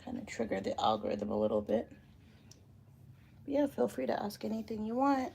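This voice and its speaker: A woman in her late thirties chatting casually on a phone livestream while doing her hair, relaxed and thinking aloud. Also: on the high side, brisk, vocal fry, slight background noise. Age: 30s. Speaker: realtor livestreaming a Q and A. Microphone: phone camera.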